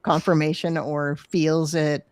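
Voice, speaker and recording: A woman's voice: low-pitched, level tone, takes it slowly, a little mellow, no echo, clean studio sound. A woman hosting a live session, talking frankly and reflectively, searching for words and laughing easily. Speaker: workshop host.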